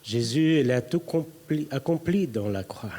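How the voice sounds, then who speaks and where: A Brazilian man preaching in a language that is not his first, speaking in short phrases and pausing for an interpreter. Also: mid-range voice, lively intonation, mellow. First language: Portuguese. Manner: playful, reads tongue twisters aloud. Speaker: guest preacher. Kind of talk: sermon.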